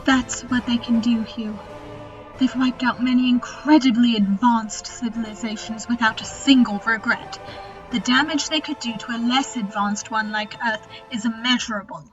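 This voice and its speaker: A woman's voice: a little high, fairly even intonation, moderate pace, lo-fi sound, faint music behind, close to an everyday voice. A woman playing a clever, resolute alien Time Lord who looks thirty, composed and explanatory, in a fan audio drama. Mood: grave.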